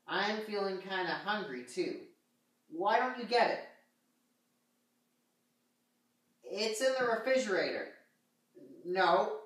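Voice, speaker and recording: A woman's voice: medium pitch, a bit bright. A woman as a patient sock puppet teacher, echoey. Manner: explanatory, measured. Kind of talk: children's puppet show teaching. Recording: very echoey room, slightly distant.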